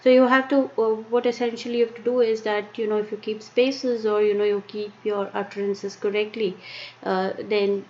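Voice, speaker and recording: A woman with an Indian accent presenting a live-streamed tech lesson, talking haltingly with many fillers and restarts. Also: medium pitch, little pitch movement, darkish tone. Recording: muffled lo-fi stream with faint noise.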